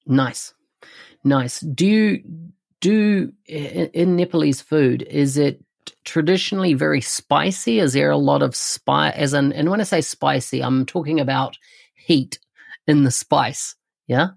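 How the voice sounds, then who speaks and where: A man's voice: a little high, unhurried, gravelly. A man hosting a podcast interview, upbeat and enthusiastic as he welcomes a guest and asks questions. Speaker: podcast host.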